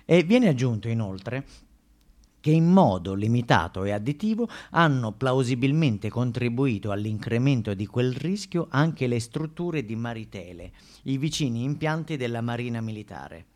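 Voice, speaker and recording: A man narrating a scripted documentary monologue for the stage, measured and grave, with deliberate pauses. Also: medium pitch, expressive, darkish tone.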